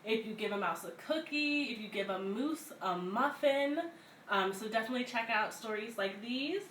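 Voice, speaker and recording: A woman's voice: a little high, moderate pace, fairly bright tone. A woman hosting a children's story time, reading picture books aloud and chatting casually to listeners. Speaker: community center story-time host. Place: echoey room at a community center. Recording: echoey room, clear background noise.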